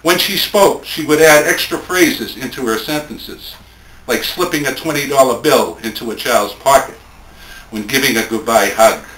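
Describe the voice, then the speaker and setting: A man speaking at a live poetry tribute in a plainspoken, measured way, between informal introduction and reading. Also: medium pitch, fairly bright tone. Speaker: speaker reading a late friend's poems at a tribute. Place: indoor room at a live poetry event. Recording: roomy, echoing event recording with faint noise.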